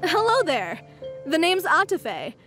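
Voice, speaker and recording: High-voiced eager young merchant. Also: female voice, wildly swooping pitch, slow, music in the background, exaggerated voice. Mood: friendly, persuasive. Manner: chipper, salesy, persuasive. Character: young female traveling merchant, cheerful, eager, money-loving. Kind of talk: video game voice acting.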